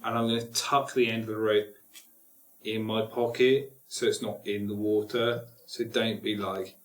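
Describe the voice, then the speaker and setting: A man's voice: on the low side, little pitch movement, moderate pace. A man chatting casually as a player in a tabletop game, thinking aloud and joking. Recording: roomy, echoey group recording.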